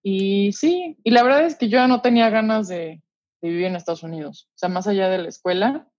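A young woman with a Mexican accent talking casually and chattily in an interview, using relaxed slang as she shares personal stories. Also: medium pitch, a little fast, no room sound, dull audio. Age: young adult. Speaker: interview guest, orchestral musician. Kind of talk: casual conversation, personal anecdotes.

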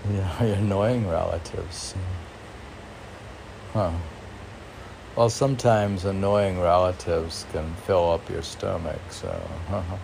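A man reading poetry and commenting on it informally in a halting, musing, self-questioning manner. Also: deep voice, creaky, noisy background. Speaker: poet reading and commenting on own poems.

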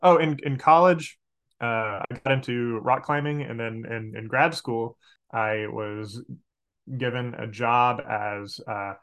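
A man's voice: medium pitch, steady tone, slow, fairly bright tone. Chatty American man in casual conversation. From Arkansas, United States. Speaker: podcast guest, game master and outdoor educator. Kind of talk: casual interview chat.

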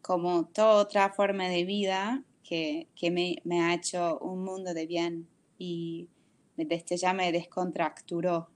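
A woman in her forties from the United States with an American accent, speaking Spanish on a video call as an interview guest. She talks thoughtfully, searching for words with frequent pauses. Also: fairly low pitch. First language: English. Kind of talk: reflective video call conversation.

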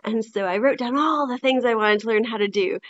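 A woman's voice: a little high, brisk, highs cut off, a little hiss or hum. A woman who teaches blacksmithing, giving friendly, somewhat hesitant answers as a podcast guest. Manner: friendly, hesitant, many ums. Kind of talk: interview answers.

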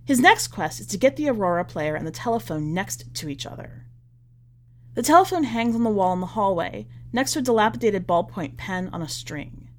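A woman reads a short story aloud in a mid-pitched voice. Her delivery is scripted and deliberate, with measured phrases that settle downward as each one closes. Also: lively intonation. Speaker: podcast editor reading a short story aloud. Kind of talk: scripted narration of literary fiction.

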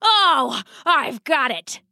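Lady doing a gray old storybook dad rat, stubborn n blustery, bright voice swooping all over. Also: high voice, sing-song, unhurried, no echo, studio quality, full character voice. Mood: excited, grand. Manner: blustering, theatrical, emphatic. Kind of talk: children's storybook character voice.

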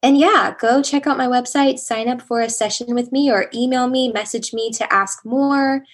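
A young woman speaking as a podcast guest with a calm, soothing voice, talking casually and reflectively. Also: a little high, moderate pace. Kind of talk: casual conversational interview.

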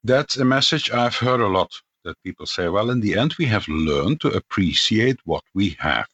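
A man hosting a casual radio panel discussion over a remote call, relaxed and hesitant, with frequent fillers. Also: lowish voice, normal speed, breathy edge, no room sound, highs cut off. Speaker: radio host.

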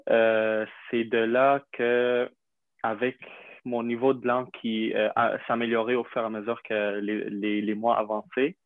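A young man from Syria, a native Arabic speaker, telling his story in an interview with many pauses, fillers and restarts. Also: mid-range voice, little pitch movement, no echo. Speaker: interview guest. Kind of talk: hesitant conversational interview. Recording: dry tinny phone-like line.

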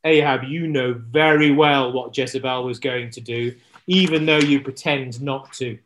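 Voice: medium pitch, steady tone, unhurried, fairly bright tone, slight background noise, close to an everyday voice. A storyteller voicing a stern, solemn prophet who scolds and warns in a children's Bible story. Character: stern, grave adult male prophet.

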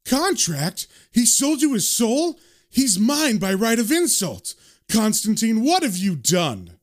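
Proud king of hell, played by a male narrator, slow, formal, haughty threats with theatrical flair. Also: medium pitch, very animated, darkish tone. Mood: outraged. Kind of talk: dramatic comic-book storytelling character voice.

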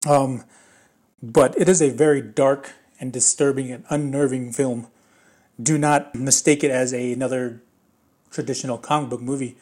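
A man with a mid-pitched voice talks through a movie review in a loose, casual way. His voice is a little raspy, and he rambles, restarting sentences and leaning on fillers as he thinks aloud. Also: steady tone, normal speed, a little mellow. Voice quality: slightly raspy.

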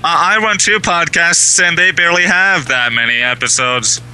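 A man from southwestern Ontario, Canada, calling in to a podcast over a tinny online call line, talking haltingly with many ums and uhs. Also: on the high side, bright, forceful tone, clear, resonant tone. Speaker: caller.

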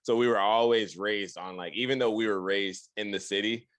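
A young man from Detroit, Michigan, tells a long personal story as a podcast guest. His voice sits very low, and the sound is close and dry with no room to it. He rambles, restarts and pads his sentences with "like". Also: steady tone, moderate pace, fairly bright tone, dry recording. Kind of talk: casual storytelling interview.

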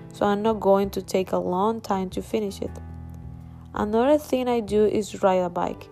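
A woman's voice: medium pitch, vocal fry, warm tone. A woman from Colombia, an English student, reading a prepared self-introduction with upbeat, careful pacing.

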